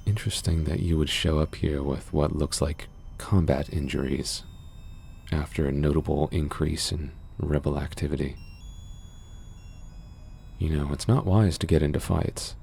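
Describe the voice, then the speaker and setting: Casting: male actor as mysterious sci-fi ASMR doctor, extremely low and mellow, soothing, measured, guarded. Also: steady tone, gravelly, a little hiss or hum. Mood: probing, guarded. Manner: gentle, measured, formal, reassuring. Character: mysterious, calm, guarded underworld cyberpunk doctor, man. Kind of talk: ASMR roleplay, soothing scripted monologue.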